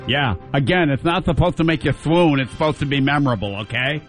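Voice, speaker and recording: Brief: slightly high-pitched male host, defending his side with conviction. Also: soft background music. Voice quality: deep voice. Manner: argumentative, drawn-out filler "uh"s when hosting. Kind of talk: hosting and reading a scripted debate.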